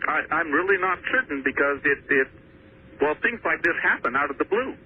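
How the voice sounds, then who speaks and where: A man's voice: on the high side, animated, brisk. A man calling in to a radio show, giving a personal account in a hesitant, earnest way. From Washington state, US. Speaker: phone-in caller. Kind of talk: personal account told on air. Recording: thin, noisy phone line to radio.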